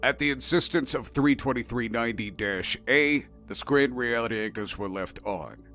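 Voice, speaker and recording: A man's voice: medium pitch, moderate pace, bright, forceful tone. Man narrating a clinical report, faint music.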